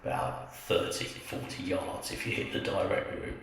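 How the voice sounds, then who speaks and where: A man voicing a calm, teasing male cave guide who keeps grinning. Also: normal speed, a little hiss or hum, close to an everyday voice. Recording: echoey hall from far off.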